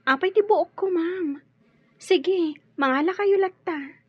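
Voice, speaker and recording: A woman's voice: very high voice, slow, no room sound, highs cut off. A woman with an Ilocano accent acting a sweet, polite young housemaid in a radio drama. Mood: polite, puzzled.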